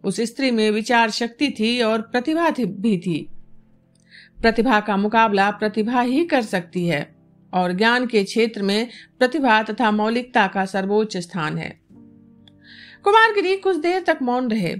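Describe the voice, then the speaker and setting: A woman reads a literary novel as an audiobook narrator, in measured, formal diction. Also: on the high side, expressive. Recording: music bed behind the voice. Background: instrumental music.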